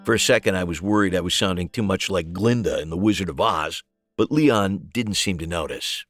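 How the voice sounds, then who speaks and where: A man's voice: low-pitched, fairly quick, fairly bright tone, no room sound, studio quality, soft background music. A man reading a first-person story aloud as a scripted narration. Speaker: audiobook narrator.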